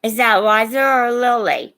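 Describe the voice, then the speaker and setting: A middle-aged or older woman with a Midwestern American accent talks casually to viewers as she sells on a livestream. Her voice sits a little high and has plenty of creak. She takes her time, speaking slowly and chattily. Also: lively intonation, a bit bright. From Indiana, United States. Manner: chatty, addresses viewers with endearments. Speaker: live online seller of vintage and antiques. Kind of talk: casual livestream sales chat.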